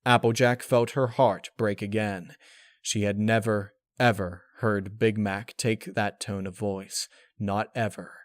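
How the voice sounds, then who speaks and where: A man's voice: fairly low pitch, little pitch movement, measured pace, no room sound. Man narrating a story, very dry sound. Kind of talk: scripted fan-fiction narration, dramatic reading.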